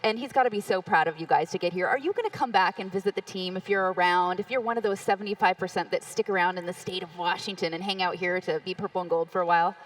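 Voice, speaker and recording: A woman's voice: fairly low pitch, quick pace, few pauses, far from the mic, low-quality recording. A woman co-hosting live ceremony coverage, asking guests easy conversational questions. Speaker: event co-host.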